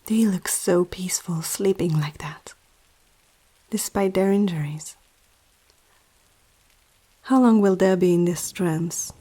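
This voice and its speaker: A woman playing a powerful, seductive, possessive vampire aristocrat in an ASMR roleplay, speaking softly and often whispering, with a teasing, regal menace. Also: on the low side, slow, long pauses. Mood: cool, composed. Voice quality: soft, breathy, often dropping to a whisper. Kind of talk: ASMR roleplay, intimate slow scripted monologue.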